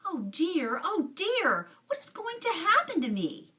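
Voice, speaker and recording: A woman's voice: very high voice, huge pitch swings, unhurried, sharp tone, voice pushed up, heavily acted. A woman voicing a worried, plaintive schoolboy in a storybook reading, recorded a little away from the microphone. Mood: miserable, panicked. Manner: anxious, plaintive. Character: worried schoolboy, muttering and fretting about his food.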